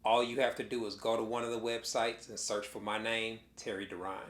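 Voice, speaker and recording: A man who is a relationship coach reading a scripted promo for his own audiobook in a calm, measured way. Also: fairly low pitch, reverberant.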